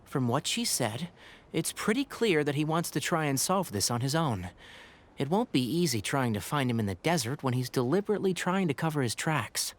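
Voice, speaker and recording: A man playing a level-headed, observant young forest ranger in a video game, calm and matter-of-fact, with music behind. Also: fairly high pitch, normal speed.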